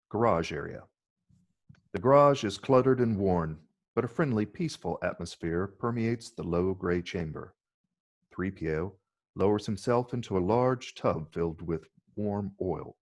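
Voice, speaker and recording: Deep voiced guy with lots of fry reading out screenplay scene directions as narrator.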